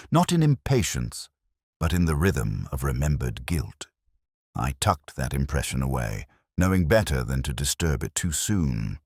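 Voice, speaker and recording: A man plays a brooding older detective telling a mystery story in an extremely deep voice. His delivery is measured and literary, with a lively rise and fall, recorded close and dry. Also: husky, dry recording, full character voice. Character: brooding older male police detective narrating his case. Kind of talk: scripted first-person mystery narration.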